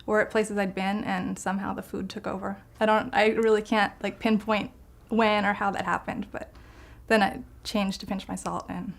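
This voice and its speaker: A woman's voice: mid-range voice, little pitch movement, normal speed, creaky. A woman food blogger answering interview questions in a casual, conversational way.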